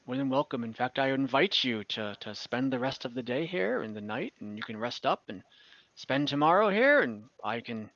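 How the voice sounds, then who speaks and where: A man voicing an old kobold leader and grandfather in a tabletop game, speaking politely in halting, measured phrases. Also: mid-range voice, sing-song, fairly bright tone, dry recording, dull audio. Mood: grateful, hospitable.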